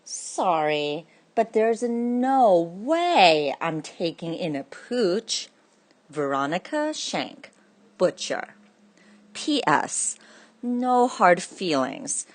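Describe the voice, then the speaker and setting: A woman acts out a gruff butcher from a children's storybook. She is blunt and scolding, turning people down at a slow, emphatic pace. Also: fairly low pitch, sing-song, heavily acted. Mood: annoyed, dismissive. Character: gruff, blunt butcher who refuses dogs. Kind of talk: children's read-aloud.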